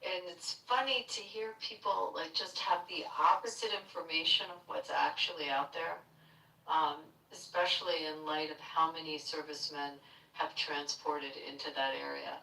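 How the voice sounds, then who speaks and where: A woman speaking as a guest in a casual political discussion, measured and pausing mid-thought. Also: sits low, fairly even intonation, gravelly, pushed and bright. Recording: echoey, distant online audio.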